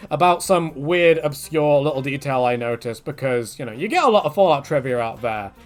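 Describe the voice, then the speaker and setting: A man's voice: a little high, expressive, a little fast, faint music behind. A man casually answering viewer questions in a rambling way, with many fillers and restarts.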